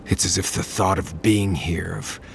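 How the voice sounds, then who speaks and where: An actor playing a troubled, vulnerable adventurer, halting and hesitant, in a video game. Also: male voice, sits low, fairly even intonation, creaky, a little hiss or hum. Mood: anxious, subdued. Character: anxious, troubled male adventurer, reluctant, vulnerable. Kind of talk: naturalistic video game acting.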